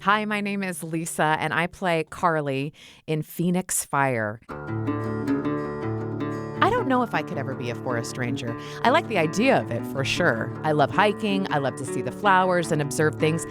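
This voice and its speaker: Low-pitched woman, thoughtful and expressive. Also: normal speed, over music. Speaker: voice actor. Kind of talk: behind-the-scenes personal reflection.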